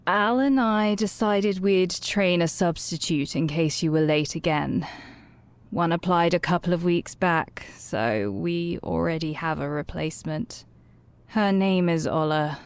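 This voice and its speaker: An actress playing a composed, unbending boss in an audio drama, firm and matter-of-fact. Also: female voice, lowish voice, unhurried, clean tone, no room sound. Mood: firm, dismissive.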